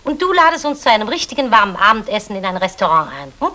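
A woman's voice: mid-range voice, big pitch swings, fast talker, pushed and bright. An actress playing a meddling, thrifty, condescending mother-in-law in a 1960s German radio play. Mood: brisk, scheming.

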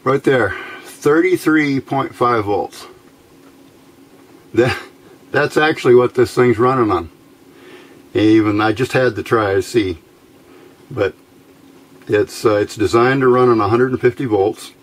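A man giving a casual hands-on demonstration of homemade gear in an unhurried, halting, explanatory way. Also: mid-range voice. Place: small indoor workbench by a window. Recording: faint background noise.

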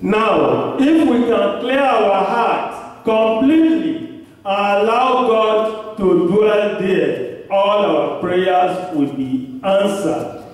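A man in his thirties with a Nigerian accent, preaching a sermon at a pulpit into a handheld mic in a church hall. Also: high-pitched. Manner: slow, emphatic preaching with long pauses. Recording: echoey church hall recorded from far off.

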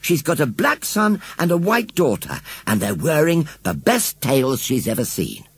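A man's voice: on the high side, a little slow, creaky, slightly rough, dry recording, voice pushed up, heavily acted. A man doing an eager little girl. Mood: excited. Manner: excited, insistent. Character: eager young girl, excitable. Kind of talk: storybook reading.

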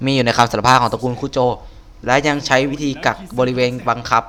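An earnest young clan son read out by a man from a game story. The voice is bright, formal and defiant, with a halting delivery. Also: medium pitch, steady tone, lightly acted. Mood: defiant, accusing. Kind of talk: reading game dialogue aloud.

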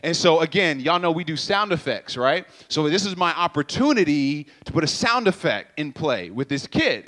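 A young man speaking on a stage with a handheld mic, telling an animated story, acting out sound effects and talking straight to the crowd. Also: high-pitched. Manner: animated, acts out sounds and voices, addresses crowd directly. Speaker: speaker at a church youth event. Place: stage in a purple-lit hall. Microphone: handheld microphone.

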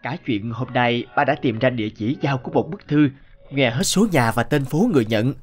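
A man voicing a gentle young postman father in a cartoon, tender and earnest. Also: mid-range voice, fairly quick, breathy edge, close to an everyday voice. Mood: warm. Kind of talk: cartoon dubbing. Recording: music behind.

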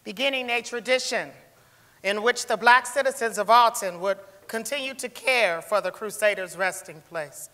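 A woman's voice: mid-range voice, normal speed, bright, forceful tone, natural voice. A woman giving a scripted dramatic reading as narrator on a theatre stage. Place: large indoor theatre stage. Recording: echoey theatre hall.

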